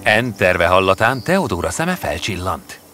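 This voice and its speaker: A man narrating a scripted fairy tale in an expressive storytelling manner. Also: deep voice. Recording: music under the voice. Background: background music.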